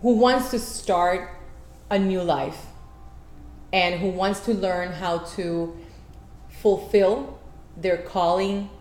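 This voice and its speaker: A woman's voice: lowish voice, steady tone, slow pace, a little mellow. A woman interviewing a guest, asking long, winding questions full of restarts. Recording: echoey room with faint noise.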